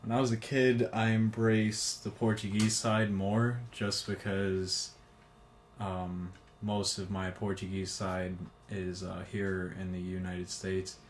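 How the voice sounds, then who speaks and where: A young man answering interview questions in a hesitant, measured way. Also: deep voice, little pitch movement, a little hiss or hum.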